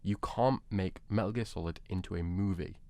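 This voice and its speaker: A man's voice: deep voice, moderate pace, darkish tone, no room sound. A man arguing his opinion emphatically in a casual panel debate, repeating words for stress.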